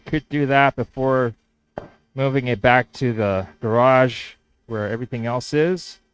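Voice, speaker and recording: Casting: male woodworker with Spanish as his first language. Smooth, mid-pitched voice, close dry sound, rambling workshop talk. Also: measured pace, no room sound. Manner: thinking aloud, hesitant restarts. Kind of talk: casual workshop vlog narration.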